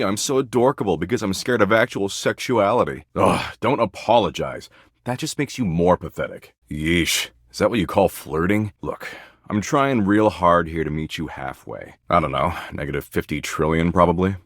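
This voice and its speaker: A man's voice: low voice, no room sound, clean studio sound. A man voicing a video game character, a snide, slangy slacker embodiment of existential dread with bored condescension. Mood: snide, dismissive. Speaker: datable character in a dating simulator game. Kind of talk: scripted video game voice acting.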